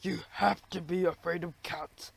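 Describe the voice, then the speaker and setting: Mouse shrink from a kids storybook, funny foreign accent, super slow and solemn, kinda high. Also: male voice, steady tone, vocal fry, husky, full character voice. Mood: solemn, evasive. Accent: foreign-sounding. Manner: slow, deliberate, drawn-out phrasing. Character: psychiatrist mouse doctor, solemn, evasive, slow and deliberate.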